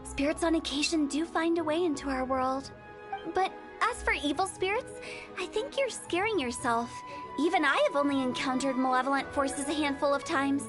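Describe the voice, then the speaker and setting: An actress playing a breezy, self-assured young female exorcist in a video game, with brisk, theatrical confidence. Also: sits high, close to an everyday voice. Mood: explanatory, assured. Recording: music behind the voice. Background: game music.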